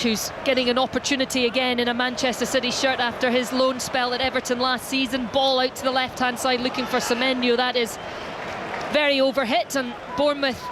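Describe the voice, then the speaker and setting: A woman giving play-by-play commentary on a live football match, rising to shouts when the ball reaches the goalmouth. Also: high voice, steady tone, moderate pace, crowd noise. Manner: rises to shouts at goalmouth action. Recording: noisy background.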